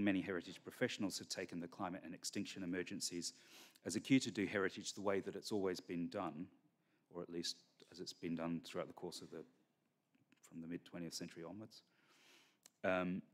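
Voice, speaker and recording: A middle-aged man giving a keynote lecture from a podium on a conference stage, measured and full of fillers and restarts. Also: low-pitched. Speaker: keynote speaker. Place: large conference hall stage. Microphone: podium microphone.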